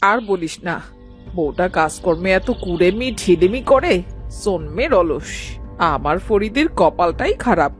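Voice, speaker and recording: A woman's voice: fairly low pitch, very animated, unhurried, faint music behind. A woman playing an older village mother-in-law in a cartoon, bossy, carping and full of self-pity. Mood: complaining. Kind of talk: dramatised cartoon dialogue.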